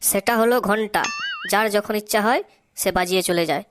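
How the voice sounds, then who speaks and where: A woman's voice: medium pitch, brisk, over music, close to an everyday voice. A woman voicing a cheeky, boastful boy pupil in a Bengali comedy cartoon, joking in broken English with a Bengali accent. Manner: jokey, broken English, sing-song.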